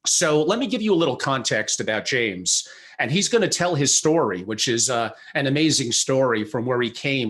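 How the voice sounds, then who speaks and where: Male podcast host, chatty, medium pitch, video call, reads disclaimers. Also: fairly bright tone. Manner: chatty, gives long disclaimers. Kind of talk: interview introduction.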